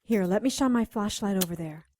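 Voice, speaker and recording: A woman's voice: mid-range voice, fairly quick, background noise, natural voice. Woman playing a wry spellcaster sidekick. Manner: wry, teasing, practical. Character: sharp-tongued young female spellcaster sidekick. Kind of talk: audio drama acting.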